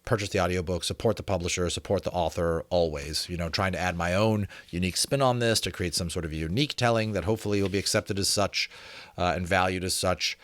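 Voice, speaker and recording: A man doing a dramatic, deliberate audiobook reading, mixed with casual, chatty asides to his listeners. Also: low-pitched, a bit bright, no echo. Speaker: reader and presenter of a book reading channel.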